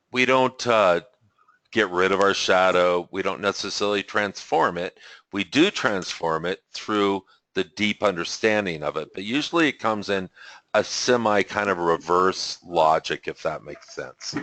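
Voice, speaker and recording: A man with a deep voice leading a spiritual webinar over a video call, talking casually, rambling and correcting himself and chuckling often. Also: fairly bright tone, dry recording. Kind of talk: casual teaching talk.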